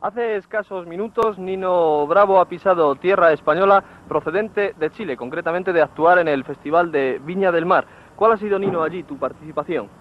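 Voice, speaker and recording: Formal Spanish TV reporter, fairly high voice. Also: male voice, normal speed. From Spain. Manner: formal, wordy phrasing, restates his questions. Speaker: TV interviewer. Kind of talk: TV reporter questions and presenting. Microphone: handheld mic. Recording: muffled 1970s TV film sound.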